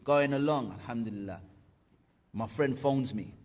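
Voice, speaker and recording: A man's voice: mid-range voice, normal speed. A man in his thirties animatedly telling a personal story, acting out the voices of friends and his teacher.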